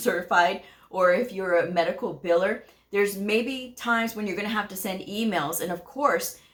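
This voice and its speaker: A woman hosting her own channel who gives casual career advice to viewers, talking directly and firmly, often checking in with "okay?" and laughing lightly. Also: medium pitch. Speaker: medical coding educator and channel host.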